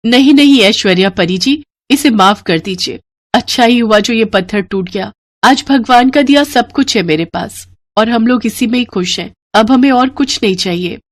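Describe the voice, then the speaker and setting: A woman's voice: on the high side, normal speed, no echo, natural voice. Woman as a humble, earnest village mother. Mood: pleading, content. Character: kind, humble, forgiving poor village mother. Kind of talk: Hindi moral storybook acting.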